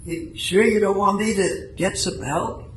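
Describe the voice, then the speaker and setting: A man's voice: sits high, fairly even intonation, a little slow. A man playing a concerned, solicitous office coworker in a radio play, eager to help. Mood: helpful. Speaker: amateur radio drama actor. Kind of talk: live radio play reading. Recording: echoey room, faint background noise.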